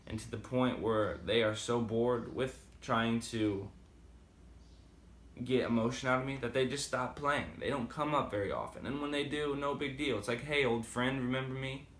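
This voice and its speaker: A man's voice: mid-range voice, moderate pace, fairly bright tone, echoey room, a little hiss or hum. A man with a slight Boston accent talking casually and rambling with many fillers in a motivational vlog. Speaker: vlogger. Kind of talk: casual motivational talk to camera.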